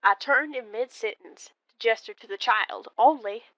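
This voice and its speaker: A woman narrating a first-person ghost story in a deliberate, expressive, dramatic way. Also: fairly high pitch, no room sound, muffled recording. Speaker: storyteller calling in to a horror radio show.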